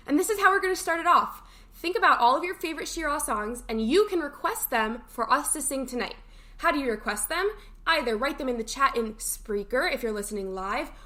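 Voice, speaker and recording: High-voiced woman hosting a casual morning show. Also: bright, forceful tone. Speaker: camp morning show host.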